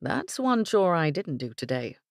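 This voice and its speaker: Woman reading as a formal young English gentleman, low and dry. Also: big pitch swings, measured pace, no room sound. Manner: formal, courteous. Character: polite young English gentleman ranch hand.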